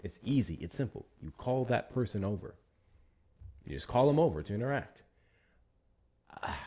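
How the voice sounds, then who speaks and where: A man's voice: medium pitch, a little mellow. A man hosting a live stream, chatting loosely with viewers, thanking them for gifts and repeating himself as he talks. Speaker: livestream host. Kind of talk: casual live-stream commentary.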